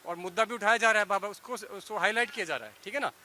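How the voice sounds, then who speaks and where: A man's voice: sits high, very fast, bright, forceful tone. A man debating on a panel, insistent and repetitive, mixing in English words, over slight hiss.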